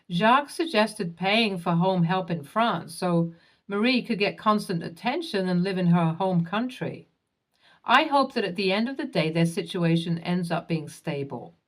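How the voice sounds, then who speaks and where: A woman with a somewhat low voice narrates online stories in a scripted manner. Her delivery stays even and measured, and she spells out acronyms letter by letter.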